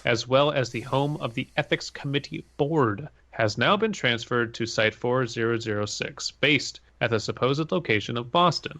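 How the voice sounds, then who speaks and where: A man's voice: mid-range voice, moderate pace, few pauses, bright, forceful tone. A man co-hosting a podcast, chatting casually with comic asides and reading entries aloud. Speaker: podcast co-host.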